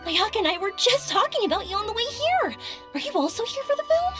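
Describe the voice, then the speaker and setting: A woman's voice: very high-pitched, sing-song, fast talker. A woman playing a curious, friendly young woman traveller in an anime game, girlish and enthusiastic. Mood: cheerful, friendly. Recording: music behind the voice.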